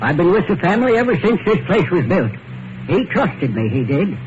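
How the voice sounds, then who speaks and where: A man's voice: sits high, very animated, normal speed. A man playing a stubborn, defensive old family caretaker in a radio mystery, with a querulous, folksy and ungrammatical way of talking. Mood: indignant, defensive. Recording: dull, noisy vintage radio recording.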